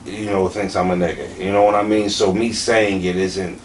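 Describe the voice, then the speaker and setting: A young man with a Philadelphia accent speaks in a fairly low voice. He talks candidly and emphatically, circling back on his phrases with plenty of slang and cursing. The mic sits at a distance, with faint noise behind him. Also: level tone, normal speed. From Southeastern Pennsylvania, USA. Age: late 20s. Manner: emphatic, repetitive, peppered with slang and swearing. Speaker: battle rapper being interviewed. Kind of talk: candid conversational interview.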